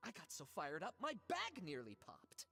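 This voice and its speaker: Video game role: emphatic, exasperated young worker, highly animated, husky edge. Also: female voice, normal speed, long reverb tail, exaggerated voice. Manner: expressive, emphatic. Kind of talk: game voice acting.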